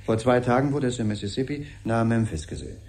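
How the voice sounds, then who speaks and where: An actor playing an evasive, unflappable ambassador, speaking in an official, placating manner in a 1970s radio drama. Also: male voice, mid-range voice, fast talker, darkish tone, lightly acted. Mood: glib, placating. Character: smooth-talking, evasive male ambassador, unflappably dismissive. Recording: 1970s radio production, faint background noise.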